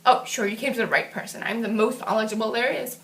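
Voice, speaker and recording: A woman voicing a boastful, excitable young girl in a children's puppet show, bossy and quick to get weepy. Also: a little high, vocal fry, pitched below the performer's own voice, low-quality recording.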